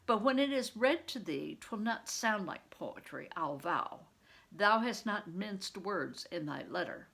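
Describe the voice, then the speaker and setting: A woman voicing a kindly, patient male monk who teaches in archaic, measured phrasing for a storybook. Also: mid-range voice, animated, gravelly, fairly bright tone, slightly rough, heavily acted. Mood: instructive.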